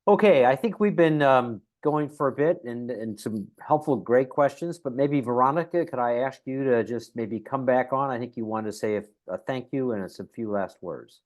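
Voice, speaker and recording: A man's voice: medium pitch, normal speed, hardly any pauses, a little mellow. A man informally moderating an event and handing over to the next speaker.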